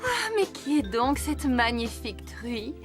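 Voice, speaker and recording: A woman's voice: on the high side, sing-song, measured pace. A woman voicing a vain, lazy, self-admiring female cartoon pig in an affected, preening drawl. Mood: preening, haughty. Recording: loud music behind the voice.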